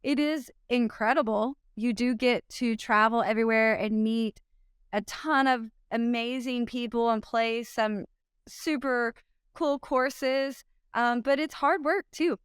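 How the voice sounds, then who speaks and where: Oklahoma lady disc golfer chatting away, kinda high voice, lots of ums. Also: clean tone, dry recording, clean studio sound. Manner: upbeat, chatty, many ums and quick laughs. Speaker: pro disc golfer, team captain. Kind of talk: casual interview answers.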